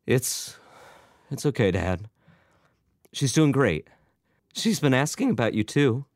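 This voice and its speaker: Guy acting as a miserable anxious son, emotional, pleading, voice pushed higher, super dry sound. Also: expressive, moderate pace, no room sound, natural voice. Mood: tearful, tender. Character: Albert, unhappy, anxious grown son, easily overwhelmed.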